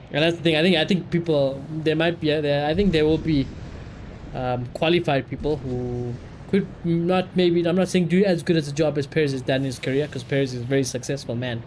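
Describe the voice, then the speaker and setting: A man chatting casually on a livestream, reacting and ranting in an animated, blunt, sweary way. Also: fairly high pitch, a little hiss or hum. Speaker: live streamer.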